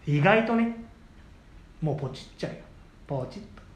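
Animated, higher-voiced man bantering. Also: dull audio. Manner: animated, quick to retort and question his partner. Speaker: podcast co-host, the senior of the duo. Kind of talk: casual bantering chat. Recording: roomy echo, faint background noise.

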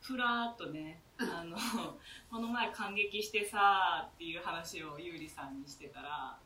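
Young woman guest chatting away, super bright voice, sounds a bit off mic. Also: fairly low pitch, measured pace, slight background noise. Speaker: guest, actor and workshop facilitator. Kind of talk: casual chat. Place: echoey room, away from the mic. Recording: roomy, distant from the mic.

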